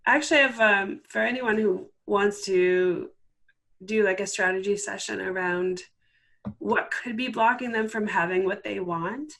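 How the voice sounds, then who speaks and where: A woman talks as a guest in an interview. Her voice sits at an average female pitch. She explains her work earnestly and conversationally, voicing bits of remembered dialogue as she goes. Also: measured pace, vocal fry, a bit bright. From Canada. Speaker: interview guest, life transformation coach.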